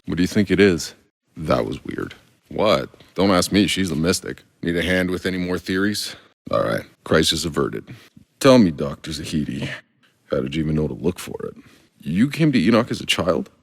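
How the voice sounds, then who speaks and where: An actor playing a world-weary, sardonic soldier in a video game, gravelly, dry and blunt. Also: male voice, deep voice, big pitch swings, moderate pace, no echo, exaggerated voice. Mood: dry, guarded. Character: gruff, world-weary male Outrider soldier, sardonic and foul-mouthed.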